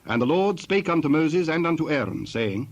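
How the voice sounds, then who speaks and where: A man narrating scripture from a script in a formal, measured manner. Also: on the high side, expressive. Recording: dull sound, faint background noise.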